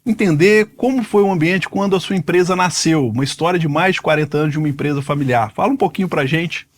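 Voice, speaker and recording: A man's voice: on the high side, quick pace. Enthusiastic man in his forties hosting. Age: 40s. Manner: enthusiastic, emphatic introductions. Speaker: podcast host. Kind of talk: podcast interview hosting.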